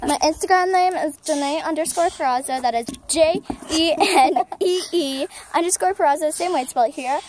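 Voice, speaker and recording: A young vlog host chatting casually, filming on a handheld phone at an outdoor campground. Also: female voice, high voice, normal speed, hardly any pauses, darkish tone, a little hiss or hum.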